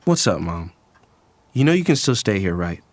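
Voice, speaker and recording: A man's voice: low voice, big pitch swings, normal speed, no echo. A young man playing a disgruntled son in an audio drama, narrating in the first person in a casual, slangy, conversational way. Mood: pleading. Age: young adult. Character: disgruntled young son from a troubled wealthy family. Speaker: actor and narrator.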